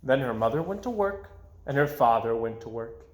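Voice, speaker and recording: A man reading a children's picture book aloud as an animated storyteller. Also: medium pitch, normal speed. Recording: roomy, echoing sound.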